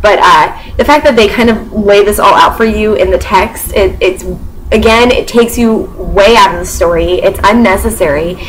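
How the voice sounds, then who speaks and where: A woman's voice: mid-range voice, moderate pace, poor audio. Young woman, Midwestern American, mostly neutral accent, sarcastic, chatty, many fillers, faint background hiss. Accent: mostly neutral American, sometimes Southern. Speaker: book reviewer. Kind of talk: casual spoken book review.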